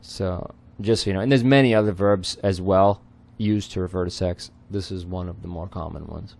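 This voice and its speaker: A man's voice: low-pitched, moderate pace, gravelly, a little mellow. A man whose first language is not Spanish, casually explaining song lyrics word by word with many fillers. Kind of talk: casual lyric translation lesson.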